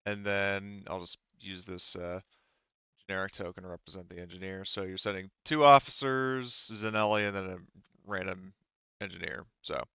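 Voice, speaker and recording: A man's voice: low-pitched, fairly even intonation, normal speed, bright, forceful tone, smooth, no room sound, highs cut off. A man acting as game master in a casual tabletop roleplaying session, explaining and thinking aloud.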